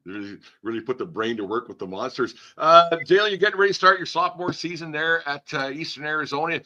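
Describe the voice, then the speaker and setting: A man's voice: a little high, expressive, quick pace, pushed and bright, dull audio. A man hosting a casual sports interview in a folksy, rambling way, repeating words as he thinks. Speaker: podcast host, interviewer.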